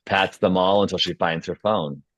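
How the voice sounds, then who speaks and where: A man's voice: sits low, fairly even intonation, moderate pace, a bit bright, no room sound, muffled recording. A man speaking as host and narrator of an informal script table read. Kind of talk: stage-direction reading and casual feedback discussion.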